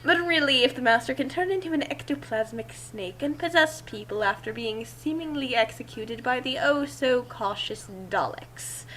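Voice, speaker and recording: A woman's voice: sits high, moderate pace, few pauses, fairly bright tone, slight background noise, rough recording. A woman reading a story aloud in a theatrical way, with casual asides. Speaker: reader and commentator.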